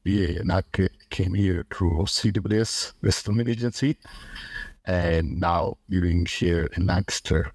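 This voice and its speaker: A man with an Afghan accent speaking in a podcast interview, halting and pausing often as he talks. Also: sits low, dry recording, studio quality. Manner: halting, with many pauses. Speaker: guest, refugee tenant.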